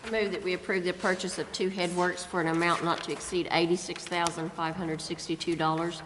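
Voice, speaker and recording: A woman's voice: fairly low pitch, little pitch movement, brisk. A woman council member reading out a formal motion in a procedural manner. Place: indoor council chamber. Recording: reverberant chamber with clear noise.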